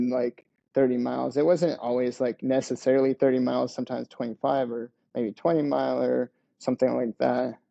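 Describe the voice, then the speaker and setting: Gravelly dark-voiced man on a call. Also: mid-range voice. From Lithuania. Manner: hesitant, many fillers and restarts. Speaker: podcast guest, ultrarunner. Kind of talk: casual interview storytelling. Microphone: headset on video call. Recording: dull lo-fi video call audio.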